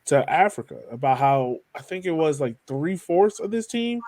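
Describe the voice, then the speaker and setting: A man's voice: fairly high pitch, sing-song, moderate pace, a little mellow, no room sound. A man hosting a podcast, interviewing in a casual, conversational way. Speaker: podcast host.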